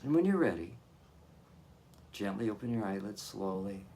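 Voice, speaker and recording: A man leading a guided meditation as a hypnotherapist, giving gentle, soothing instructions with long pauses between phrases. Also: on the low side, lively intonation.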